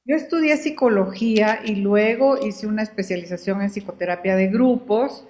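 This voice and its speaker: An older woman from Guatemala speaks as an interview guest, at an even middle pitch. She tells her story in an easygoing, conversational way. She often stops and repeats a word before going on. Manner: unhurried, often repeats and restarts words.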